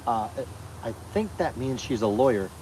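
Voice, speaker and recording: A man's voice: mid-range voice, expressive, normal speed, darkish tone, slight background noise, low-quality recording. A man co-hosting a livestream in casual chat, hesitant and often repeating and restarting his words. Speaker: co-host.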